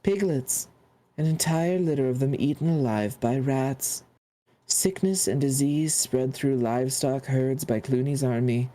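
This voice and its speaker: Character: a man putting on an ancient male mouse keeper of books, wise, fussy and absent-minded. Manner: doddering, humming and muttering. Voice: mellow and soft. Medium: read-aloud storybook. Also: mid-range voice, unhurried, natural voice. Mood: stern.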